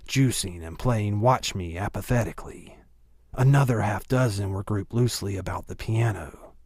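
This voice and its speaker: A man's voice: fairly low pitch, a little mellow, dry recording, studio quality. A man narrating a novel as a scripted audiobook reading.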